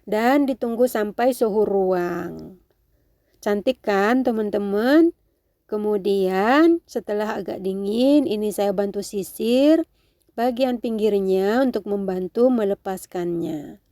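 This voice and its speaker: A woman with a nasal, congested voice from a cold gives a casual cooking tutorial, gently instructing her viewers. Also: mid-range voice, slow pace, dry recording, studio quality. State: has a cold. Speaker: home cooking video host.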